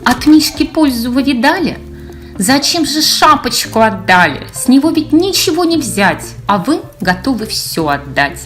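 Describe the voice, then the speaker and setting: A grumpy, preachy old male owl from a children's verse storybook, acted by a woman. The pitch sits high and swings in lively scolding lines, with music underneath. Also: unhurried, heavily acted. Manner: preachy, nagging, rhymed speech.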